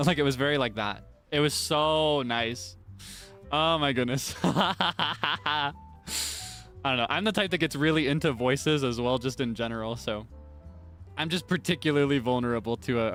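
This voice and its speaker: A man's voice: medium pitch, measured pace, a bit bright, soft background music. A young man chatting casually on a stream, answering viewer comments with plenty of laughter and self-deprecating remarks. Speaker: virtual streamer.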